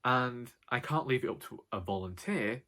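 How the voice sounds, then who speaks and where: A British man with a loud voice rambling casually to camera in a wry, sarcastic way, like a vlogger. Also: medium pitch, animated, moderate pace. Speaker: vlogger and author.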